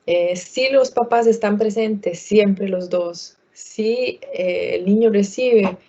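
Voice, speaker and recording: A woman answers audience questions in an online talk, stopping often to restart a phrase or fill a pause. Her voice is mellow and dark. The sound comes through a dull, lo-fi video call. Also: mid-range voice. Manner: hesitant, many fillers and restarts. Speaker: guest expert speaker.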